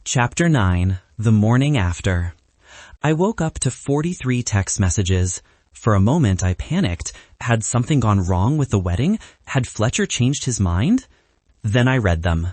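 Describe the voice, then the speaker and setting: A man with a slightly husky voice narrating a romantic comedy story from a script. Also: fairly low pitch, big pitch swings, a little slow, a little mellow, no room sound. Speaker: audiobook narrator.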